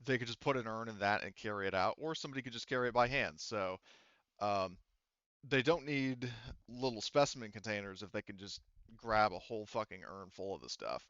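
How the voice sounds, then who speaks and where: A man speaking casually and haltingly as a game master, often rewording himself as he explains and narrates a tabletop game. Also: on the low side, a bit bright, smooth, no room sound, highs cut off. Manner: halting, self-correcting, informal with swearing.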